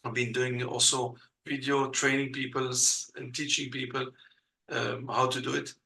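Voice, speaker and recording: A man from Belgium speaks as an in a casual interview. His voice is gravelly yet bright and forward. He talks hesitantly and fills his pauses with plenty of "uh". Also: mid-range voice, level tone, slight background noise, low-quality recording. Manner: hesitant, many uh fillers, non-native grammar. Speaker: guest.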